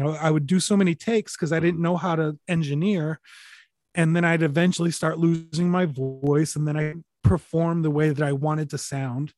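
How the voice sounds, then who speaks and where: A man with a fairly high voice recalls his work in a loose, rambling way. He stumbles over restarts and fillers and swears casually. The sound is close and dry, with no room around it. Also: darkish tone, no echo. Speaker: interview guest, recording artist. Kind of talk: relaxed conversational storytelling.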